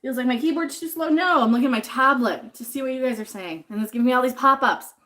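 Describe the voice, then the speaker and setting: Woman, casual livestream chat, home kitchen, sniffly, fairly high voice. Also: fast, bright, forceful tone. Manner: chatty, reads viewer comments aloud. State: sniffly from jalapenos. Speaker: livestream host cooking.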